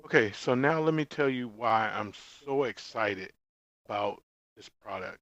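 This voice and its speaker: A man giving a casual product review, explaining informally in short, halting phrases. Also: on the low side, lively intonation, a bit bright, no echo, highs cut off. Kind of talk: casual gear review vlog.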